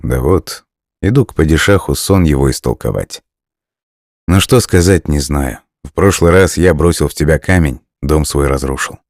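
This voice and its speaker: A poor, humble woodcutter from a storybook, read by a man. He speaks plainly and ruefully in an extremely deep voice with a dry sound. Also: normal speed, darkish tone, dry recording.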